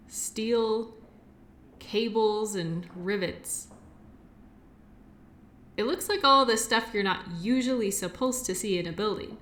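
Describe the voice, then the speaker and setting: A woman's voice: mid-range voice, fairly bright tone, lightly acted. A woman playing a shy, awkward, kindly medical worker in an audio roleplay, talking in a hesitant, rambling, apologetic way. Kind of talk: audio roleplay acting, addressing the listener.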